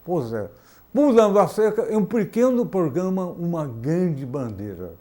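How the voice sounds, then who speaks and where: A male talk-show host, unhurried and fond of jokes. His voice sits a little high for a man, and he speaks in a playful, teasing way in a studio. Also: sing-song, dark tone. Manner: playful, joking, slow and drawn out. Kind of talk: casual TV interview. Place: university TV studio.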